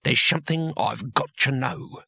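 Guy doing a gruff old warrior for an audiobook, irritable, stubborn, husky and forceful. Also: medium pitch, measured pace, dry recording, full character voice. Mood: flat, blunt.

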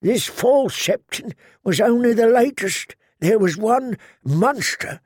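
A man voicing an elderly monk in an audiobook, weary, mournful and halting, as a plaintive survivor full of shame. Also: extremely high pitch, expressive, darkish tone, breathy edge, no echo, clean studio sound, voice pushed up, full character voice. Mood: weary sorrow. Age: older.

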